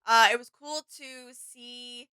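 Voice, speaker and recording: A woman's voice: on the high side, steady tone, takes it slowly, bright, forceful tone, clean tone, no room sound. A woman co-hosting a reaction video, agreeable and easygoing in casual commentary.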